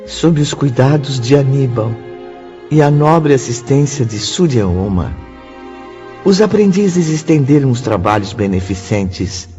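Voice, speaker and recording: A man's voice: mid-range voice, mellow. A man with a Brazilian accent giving solemn scripted narration as a radio drama narrator. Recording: reverberant, dull lo-fi, music bed.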